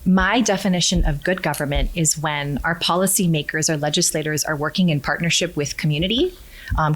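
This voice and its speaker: Woman, from Hawaii, council member guest, upbeat, quick to laugh, fairly low voice. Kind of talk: conversational interview. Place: convention venue.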